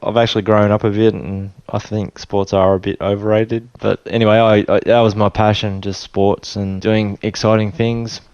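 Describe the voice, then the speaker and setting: Low, even-toned Australian man. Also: normal speed, hardly any pauses, clean tone, no echo, highs cut off. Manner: relaxed, rambling with many restarts and fillers. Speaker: interview guest. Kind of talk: casual storytelling interview.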